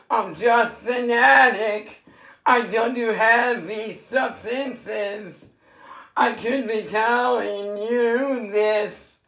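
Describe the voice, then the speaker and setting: A man from San Diego with a rough, worn voice, rambling through a half-sung freestyle and chatty, swearing talk at home. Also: very high-pitched, a bit bright, echoey room, low-quality recording. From San Diego, California. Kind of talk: improvised singing and casual vlog talk.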